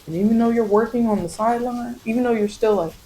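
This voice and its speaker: An American woman giving soft-spoken ASMR spiritual guidance as a tarot reader, meandering and repeating phrases to reassure. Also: a little high, quick pace, rough recording.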